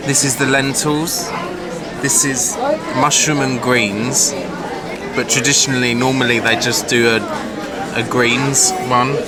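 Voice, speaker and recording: A man vlogging a casual food review, explaining dishes in a relaxed, halting way with many fillers. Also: medium pitch. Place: busy restaurant. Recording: loud crowd chatter behind. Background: restaurant crowd chatter.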